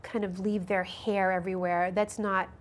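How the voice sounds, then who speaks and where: A woman's voice: medium pitch, level tone, normal speed, slight background noise. A woman nurse practitioner answering interview questions about allergies on a health show, explaining with frequent ums and restarts.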